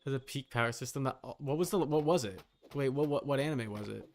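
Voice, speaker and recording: A man's voice: mid-range voice, quick pace, a little mellow. A man hosting a game livestream, talking casually and informally in a rambling way, thinking aloud as he goes.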